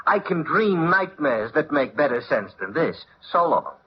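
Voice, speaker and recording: An actor playing a grumbling, lazy working-class cab driver with slangy, complaining talk, a comic sidekick in a 1940s radio play. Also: male voice, fairly high pitch, big pitch swings, a little slow. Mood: weary, joking. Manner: slangy, complaining, plain-spoken. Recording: muffled, faintly noisy old radio recording.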